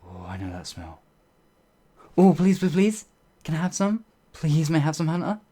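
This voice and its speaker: An actor playing a bewildered, humble werewolf outlaw in an ASMR fantasy roleplay, with halting, old-fashioned speech and a husky voice. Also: medium pitch, very animated, dark tone. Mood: begging eagerly. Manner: halting, flustered, pleading, old-fashioned wording.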